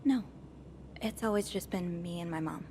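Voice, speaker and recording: A woman's voice: fairly low pitch, moderate pace, dark tone, a little hiss or hum, natural voice. A young woman actor playing a polite, hesitant hotel maid of the 1950s in a video game. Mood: guarded, polite. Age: young adult. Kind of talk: video game cutscene dialogue.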